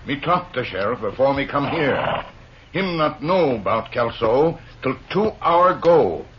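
Loyal western companion, clipped broken English. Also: male voice, mid-range voice, very animated, a little slow. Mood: earnest. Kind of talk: 1950s radio western acting. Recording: dull, noisy old radio recording.

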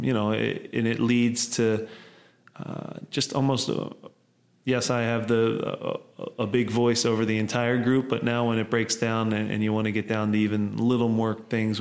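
Low flat-sounding guy, soccer coach, lots of uh and restarts, middle-aged. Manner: rambling, many "uh" fillers and restarts. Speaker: head coach of a soccer club. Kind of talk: interview answers.

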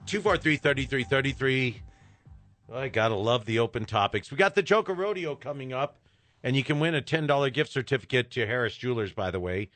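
A man's voice: medium pitch, fairly quick, no echo, faint music behind. A man hosting a call-in talk radio show, ranting in disbelief and riffing with callers. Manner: animated, incredulous ranting. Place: radio studio. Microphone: studio microphone.

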